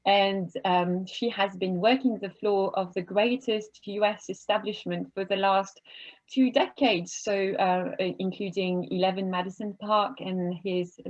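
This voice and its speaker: A woman's voice: mid-range voice, steady tone, unhurried, highs cut off. A woman hosting an online panel from home over a video call, friendly and chatty, with many fillers and restarts. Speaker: webinar host and moderator. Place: home office.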